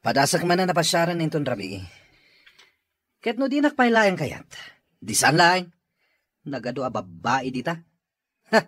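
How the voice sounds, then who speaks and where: Sulky suitor son, Ilocano accent, lively swings, husky, radio drama. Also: male voice, a little high, moderate pace, long pauses, no room sound, exaggerated voice. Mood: pleading. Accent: Filipino, Ilocano.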